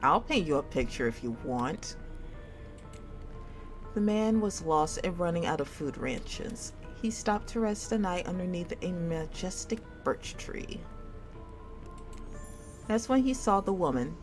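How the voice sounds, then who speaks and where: A woman's voice: lowish voice, unhurried, a little mellow. A woman reading a game's story aloud as a storyteller. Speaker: video game streamer reading dialogue aloud. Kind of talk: storytelling read-aloud of a dating sim game. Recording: music behind the voice. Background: music.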